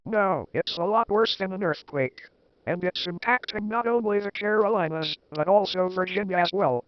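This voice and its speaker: A man's voice: high-pitched, moderate pace, no room sound, close to an everyday voice. A man playing a hesitant, understated emergency warning staffer breaking bad news in a scripted amateur drama. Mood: uneasy, understated. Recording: dry, slightly dull lo-fi audio.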